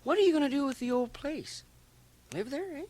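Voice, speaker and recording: An actor playing a young, gossipy small-town Canadian barber with a folksy, rambling manner in a radio drama. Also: male voice, very high-pitched, expressive, fairly quick, a little mellow. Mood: folksy, nosy. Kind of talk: colloquial radio drama dialogue. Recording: slightly hissy cassette of radio drama.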